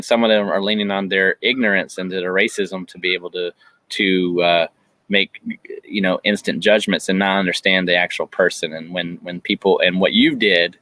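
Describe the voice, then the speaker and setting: A man's voice: sits low, sharp tone, no echo, poor audio. A man hosting an interview, affirming his guest and talking in a rambling way with frequent restarts. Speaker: podcast host. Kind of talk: conversational interview.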